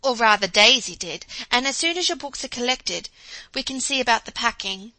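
A woman's voice: fairly high pitch, expressive, normal speed, bright, forceful tone, no echo, pitched above the performer's own voice, rough recording. A woman voicing an affectionate middle-aged mother who talks in an eager, gushing way.

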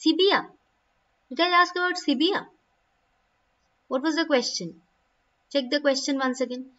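A young woman with a high voice teaches a live online literature class from a small room at home. She explains each answer patiently and calls on her students by name. The sound is dull and a little distant, picked up by a laptop mic. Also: unhurried, a bit bright. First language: not Hindi. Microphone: laptop webcam mic.

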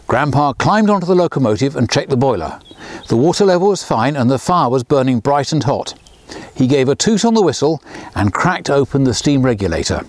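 A man in his sixties, a children's author, reading his own storybook aloud outdoors beside a small railway, lifting his voice for the characters. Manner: lively storyteller, lifts pitch for characters' lines.

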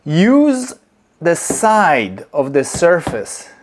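A middle-aged man, teaching slowly and deliberately while he demonstrates in a home kitchen. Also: on the high side, wildly swooping pitch. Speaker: movement teacher. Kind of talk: instructional demonstration.

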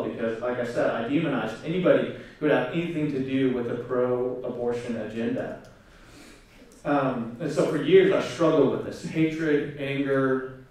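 A man's voice: medium pitch, darkish tone, far from the mic. A man in his twenties giving a personal testimony on stage, speaking earnestly with frequent fillers into a handheld mic. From South Korea, raised in Oklahoma. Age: 20s. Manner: earnest, many fillers and pauses. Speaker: conference speaker. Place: large hall on stage. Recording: echoey hall, recorded from afar.

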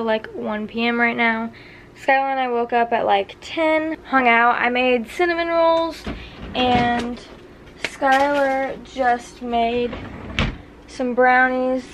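A young woman vlogging to camera in her small apartment, chatting in a relaxed way with lots of fillers. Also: a little high, a little hiss or hum. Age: young adult. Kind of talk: casual vlog chat to camera.

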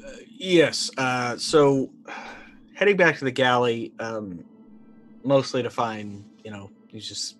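A man in his forties playing a tabletop roleplaying game, casually narrating his character's actions and thinking aloud haltingly. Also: mid-range voice, a bit bright, faint music behind. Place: indoor room, online video call.

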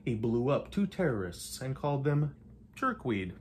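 A man's voice: mid-range voice, sing-song. Older man reading a rhyming storybook theatrically. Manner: theatrical, measured delivery with playful emphasis. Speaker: reader of a picture book. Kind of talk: rhymed storybook read-aloud. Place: indoors in a home living room. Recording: light room noise.

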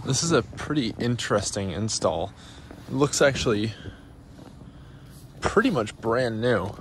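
A man's voice: mid-range voice, animated, measured pace. A young American man narrating a casual road-trip vlog in a matter-of-fact way. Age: young adult. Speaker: vlogger. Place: in a car and outdoor parking lots. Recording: noisy car and outdoor ambience.

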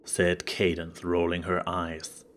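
A man's voice: low-pitched, monotone, takes it slowly, a bit bright. A man reading scripted story narration.

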